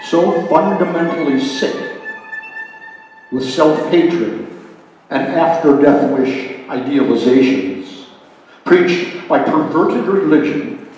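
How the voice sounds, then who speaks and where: A man reading his own poetry aloud at a live reading, in a deliberate, declamatory way with frequent pauses. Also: on the high side, expressive, a little mellow. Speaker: poet reading own work. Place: indoor gallery reading. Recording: very echoey hall, distant mic, music behind. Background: music.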